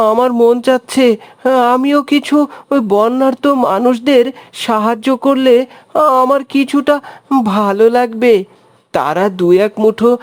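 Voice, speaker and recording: Woman as high-voiced cartoon schoolboy. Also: close to an everyday voice. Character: good-hearted schoolboy friend in cartoon. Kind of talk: Bengali cartoon dubbing.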